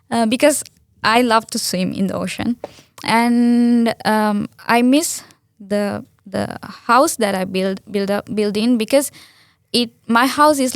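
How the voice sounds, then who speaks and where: A woman's voice: fairly high pitch, dry recording, studio quality. A young woman from Sri Lanka with a Sri Lankan accent, a native Sinhala speaker, answering interview questions earnestly with many restarts and fillers. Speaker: guest.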